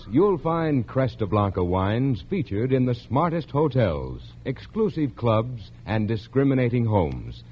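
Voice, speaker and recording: A man delivering scripted advertising copy as a polished, deliberate announcer in 1940s radio style. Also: mid-range voice, breathy edge. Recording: muffled old radio broadcast.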